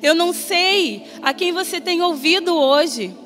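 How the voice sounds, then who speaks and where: A woman preacher with a Brazilian Portuguese accent, reading scripture and giving an earnest, measured sermon that rises in emphasis. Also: very high voice, expressive. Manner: earnest, measured, rising emphasis on quoted voices. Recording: long reverb, soft music behind.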